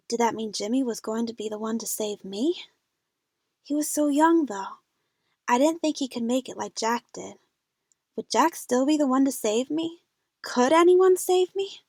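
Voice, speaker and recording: A woman's voice: fairly high pitch, no echo, studio quality. A woman playing a frightened young female adventure fan, narrating her own jungle ordeal in a scripted audio drama.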